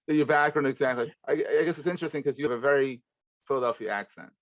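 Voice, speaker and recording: Male podcast host, mid-pitched, casual interviewer with a close, dry sound. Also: quick pace, dry recording.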